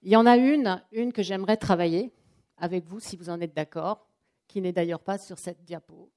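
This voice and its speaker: A woman presenting a live coaching workshop to a room of people, talking in a chatty, lively way and acting out what clients say. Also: lowish voice, normal speed. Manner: lively, chatty, acts out quotes. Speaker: trainer and coach. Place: training room with an audience.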